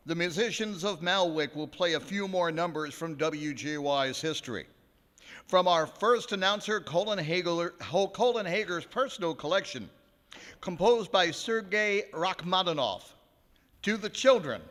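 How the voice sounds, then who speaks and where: A man's voice: a little high, bright, forceful tone. A man playing a grandly theatrical narrator and announcer for a live radio play. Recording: reverberant ballroom stage.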